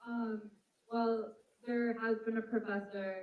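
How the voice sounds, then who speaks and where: A young woman speaks earnestly with a few hesitant fillers, her voice slightly high. The recording is echoey and dull. It sounds like outdoor press audio. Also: little pitch movement, takes it slowly. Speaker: student protester at a press conference. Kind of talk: press conference statement.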